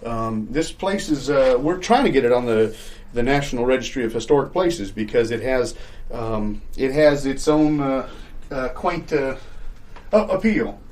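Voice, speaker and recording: A man playing an evasive, sales-hungry landlord in an improvised comedy sketch, with halting, stammering salesman patter full of euphemisms. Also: mid-range voice, expressive, a little mellow, lightly acted. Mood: eager selling. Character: evasive, sales-hungry male landlord showing shabby rental bungalow.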